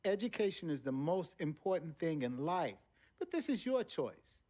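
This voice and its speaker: A man playing a middle-aged, plain-spoken father in a game cutscene, folksy, deliberate and authoritative. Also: on the high side, big pitch swings, a little mellow. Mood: firm, measured. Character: steady, principled, plain-spoken family father. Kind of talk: naturalistic dramatic acting in a game cutscene.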